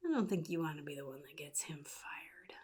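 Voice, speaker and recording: A woman playing a sly, chaotic supervillain in a soft-spoken ASMR roleplay, often dropping into a breathy whisper with a casual, menacing drawl. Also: low voice, brisk. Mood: quietly menacing. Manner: casual drawl, offhand, slyly threatening.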